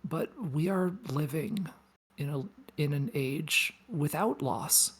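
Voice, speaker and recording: A woman playing a tender, solemn mourner in a table read, with a breathy, husky voice and deliberate delivery. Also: low-pitched, heavily acted. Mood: solemn, mournful. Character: solemn, tender mourner giving a grand eulogy.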